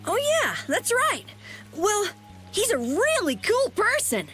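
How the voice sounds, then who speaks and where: A woman voicing an excitable, earnest young kid adventurer in a video game, eager and emphatic. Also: extremely high pitch, slow pace, heavily acted. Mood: eager admiration. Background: game music.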